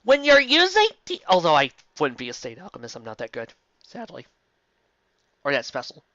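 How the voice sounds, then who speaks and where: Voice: mid-range voice, huge pitch swings, moderate pace, pushed and bright, dry recording. An exasperated, theatrical reader giving mocking commentary on fan fiction, exclaiming in a slightly dull recording.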